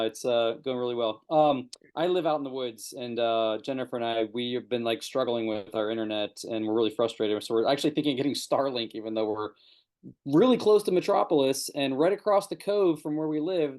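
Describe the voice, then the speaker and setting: A man hosting a podcast over a video call, chatty and quick to laugh, with easy asides. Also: medium pitch, a little mellow. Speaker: podcast host. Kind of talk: casual video-call interview.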